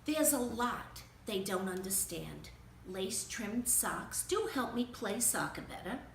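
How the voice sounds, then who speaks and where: A woman's voice: medium pitch, animated, a little slow, creaky. A woman reading a children's story aloud in a theatrical, emphatic way. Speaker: storybook reader. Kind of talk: animated children's read-aloud. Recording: roomy, distant mic with faint noise.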